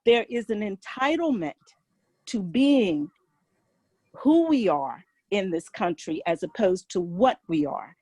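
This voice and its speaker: A woman's voice: medium pitch, big pitch swings, takes it slowly, mellow, dry recording. A woman on a video call speaking earnestly and emphatically, repeating key points. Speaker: participant.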